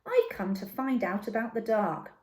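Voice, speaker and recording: Woman as a timid, high-voiced baby owl boy. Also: sing-song, moderate pace, a little mellow, roomy sound. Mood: curious. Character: timid young male baby barn owl, earnest.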